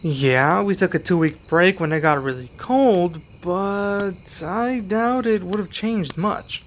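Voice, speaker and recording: Man acting earnest young werewolf, unhurried, lightish voice. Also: sits high, slight background noise. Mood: sheepish. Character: earnest, grateful young male werewolf learning magic. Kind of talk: ASMR roleplay acting.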